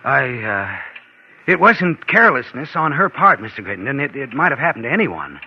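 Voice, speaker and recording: Glib, nervous radio schemer, wide-swinging voice. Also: male voice, mid-range voice, very animated, moderate pace, slightly rough. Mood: smooth, shaken. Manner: stammering, agitated, defensive. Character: scheming impostor nephew, nervous, glib, feigning shock. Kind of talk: 1940s radio suspense acting with first-person narration. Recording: dull old radio recording, music behind.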